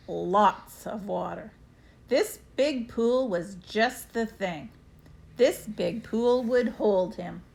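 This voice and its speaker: Lady reading a kids book out loud, takes it slow, super expressive, dramatic emphasis. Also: on the high side. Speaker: storybook reader. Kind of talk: expressive children's read-aloud.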